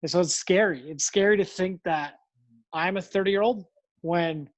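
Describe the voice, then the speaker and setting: A man's voice: sits high, normal speed. Rambling, easygoing man in his thirties. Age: 30s. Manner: easygoing, rambling, self-amused. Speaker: podcast co-host. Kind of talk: casual chat.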